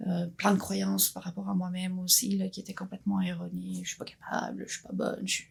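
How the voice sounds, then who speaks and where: Interview guest: woman, 50s, husky and a bit gravelly, earnest and reflective. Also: medium pitch, little pitch movement, a little fast. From Belgium. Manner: earnest, thoughtful, frequent restarts. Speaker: guest entrepreneur.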